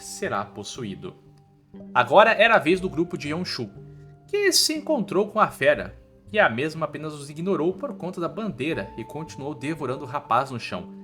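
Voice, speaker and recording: A Brazilian man reads an informal scripted story recap. His voice is bright and slightly high, with very lively swings in intonation. Soft music plays behind him. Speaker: recap narrator.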